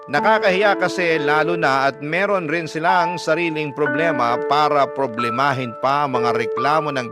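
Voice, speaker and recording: A man narrating a confessional letter addressed to the host. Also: a little high. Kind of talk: scripted narration. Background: music.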